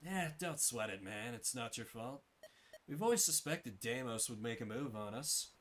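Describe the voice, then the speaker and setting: A man's voice: mid-range voice, lively intonation, normal speed, a bit bright, a little hiss or hum, close to an everyday voice. A man voicing an easygoing, blunt, loyal soldier in a fantasy game. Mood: reassuring. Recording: game music behind the voice.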